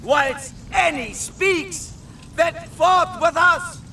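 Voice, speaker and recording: A fervent warrior king in a radio drama, rallying troops in Shakespearean style. The voice is extremely high and bright, very slowly declaimed, and heard at a distance. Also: full character voice. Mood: rousing, fervent. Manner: declamatory, emphatic, deliberate. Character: rousing warrior king addressing his troops. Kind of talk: declaimed Shakespearean battle speech. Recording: distant voice over faint ambient noise.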